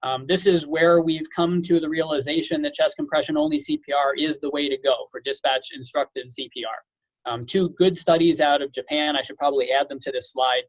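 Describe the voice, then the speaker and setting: A man's voice: on the high side, fairly even intonation, a little fast, dry recording, muffled recording. A man giving an informal webinar lecture as a physician, explaining things conversationally with casual asides. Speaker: physician lecturer, medical director.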